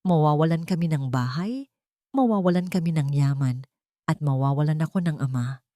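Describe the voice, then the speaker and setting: Woman narrator for an audio drama, low voice, dry close booth sound, earnest and dramatic. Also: normal speed, dark tone, no echo. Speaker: storyteller.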